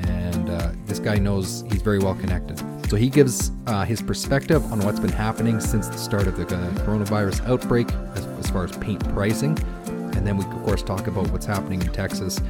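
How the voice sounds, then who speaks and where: A man from Canada hosting a podcast in casual, upbeat chat, his voice hoarse and scratchy from dust. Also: on the low side. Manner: chatty, upbeat, rambling with restarts. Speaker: podcast host.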